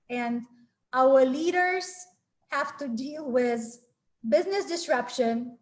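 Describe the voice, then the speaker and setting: A high-pitched woman from Ukraine in her forties presents a webinar from a small room at home. She talks in a friendly, earnest way, often pausing on fillers and starting her sentences again. The sound comes through a laptop webcam mic on a video call. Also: rough recording. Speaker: webinar speaker. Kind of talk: presenting a live webinar talk.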